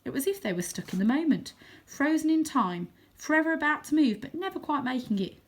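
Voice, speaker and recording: A woman narrating a children's book aloud as a storyteller, with expressive delivery. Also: high voice, a little fast. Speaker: storybook reader.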